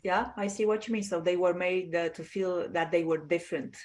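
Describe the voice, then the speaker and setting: A woman's voice: lowish voice, steady tone, fairly quick, a little mellow. A woman from Romania teaching an online class over a video call, explaining patiently and checking that her students follow.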